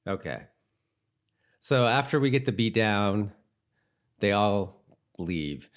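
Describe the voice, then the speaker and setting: A man's voice: on the low side, very animated, takes it slowly. A man co-hosting a rewatch podcast in casual, conversational talk. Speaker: podcast co-host.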